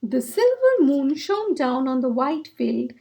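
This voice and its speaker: A woman narrates a children's storybook in a very high voice. Her delivery is slow, with lively rises and falls that suit a read-aloud for young listeners. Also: creaky.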